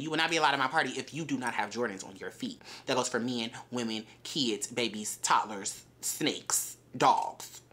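A woman, chatting to camera in a casual vlog, animated and profane with playful shouts. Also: deep voice. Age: 20s. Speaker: vlogger. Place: bedroom closet with shelves of bags and shoes, indoors.

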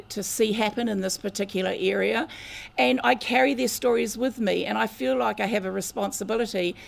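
An older woman with a New Zealand accent and a croaky voice, giving an earnest public talk as a researcher at a lectern mic in a meeting room. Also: mid-range voice. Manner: measured, earnest, with long greetings in Māori. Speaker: guest speaker, researcher. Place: community meeting room, indoors.

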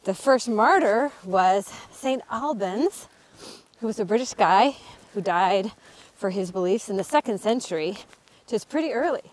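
A woman's voice: mid-range voice, animated, measured pace. A middle-aged woman hosting a casual walking talk outdoors, explaining history with frequent ums. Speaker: author and channel host. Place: outdoors on a cold hillside.

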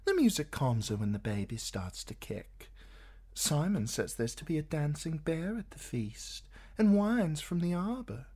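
A man's voice: on the high side, sing-song, a little slow, dark tone, pitched above the performer's own voice, exaggerated voice. A man voicing a sulky young woman who pleads with her lover. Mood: eager.

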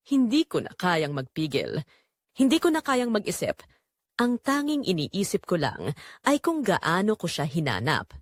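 A woman's voice: medium pitch, no echo, clean studio sound. A woman narrating a scripted, confessional first-person story. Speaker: narrator of an audio drama, reading as the wife.

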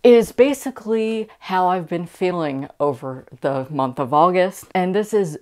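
Young woman, low voice, casual vlog chat, enthusiastic, gushing, indoor recording. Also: expressive, a little slow, darkish tone. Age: young adult. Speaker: book vlogger. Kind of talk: casual book haul chat to camera.

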